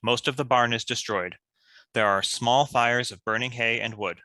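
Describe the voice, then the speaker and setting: A man's voice: mid-range voice, fairly even intonation, normal speed, bright, forceful tone, dry recording, poor audio. A man narrating a script, reading out stage directions.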